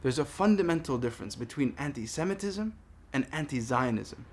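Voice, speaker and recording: A man in his thirties from Palestine speaking in a personal monologue, earnest, deliberate and emphatic. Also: medium pitch, expressive, darkish tone. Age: 30s. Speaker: vlogger making a response video. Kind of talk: personal monologue addressed to camera.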